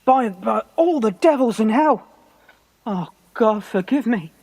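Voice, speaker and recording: A woman's voice: a little high, expressive, slow, a little mellow, slightly rough, full character voice. A woman acting a superstitious, God-fearing army captain in a radio play, earnest and plain-spoken. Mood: awed. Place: actor's home, recorded remotely.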